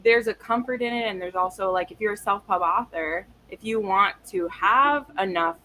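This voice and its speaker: A woman with a bright, forward voice talks in a loose, gushing way, full of slang and swearing. She sounds like she is on a casual livestream, with faint noise behind her. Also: medium pitch, moderate pace, rough recording. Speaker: book livestream co-host. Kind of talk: casual excited chat. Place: live online video chat.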